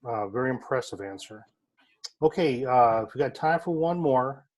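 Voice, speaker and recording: A man leading a club meeting session in a friendly, halting way, with many fillers and repeats. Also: medium pitch, expressive, low-quality recording.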